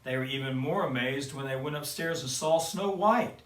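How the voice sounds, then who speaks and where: A man reading a children's picture book aloud in a gentle storytelling way. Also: medium pitch. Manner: slow, gentle read-aloud. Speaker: storyteller for kids. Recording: roomy, mic at a distance.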